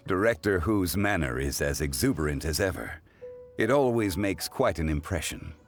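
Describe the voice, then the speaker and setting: An actor voicing a calm, erudite gentleman consultant in a video game, formal, measured and modest. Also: sits low, soft background music. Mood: composed. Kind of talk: anime-style video game voice acting.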